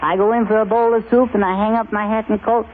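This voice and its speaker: A man's voice: sits high, little pitch movement, quick pace, slight background noise, voice pushed up, close to an everyday voice. A man voicing a cheeky, lazy schoolboy dummy who fires back sassy comebacks in a 1930s radio comedy act. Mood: impudent. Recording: tinny 1930s radio broadcast, loud studio audience.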